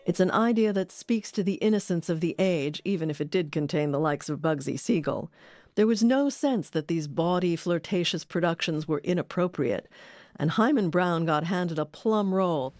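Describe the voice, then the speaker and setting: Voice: medium pitch, animated, normal speed, darkish tone, no echo. A documentary narrator reading a scripted history.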